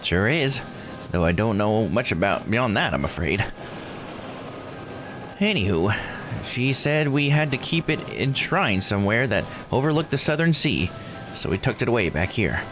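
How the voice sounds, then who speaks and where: A man voicing a kindly old island grandmother in a visual novel, folksy, unhurried and dryly joking. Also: fairly low pitch, very animated, music in the background, voice pushed up. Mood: calm explaining. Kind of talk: visual novel character read aloud.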